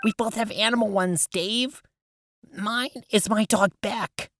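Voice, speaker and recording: A woman voicing a friendly, slightly scatterbrained teenage girl in an audio drama, earnest and expressive. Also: medium pitch, slow, noisy background, lightly acted. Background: music.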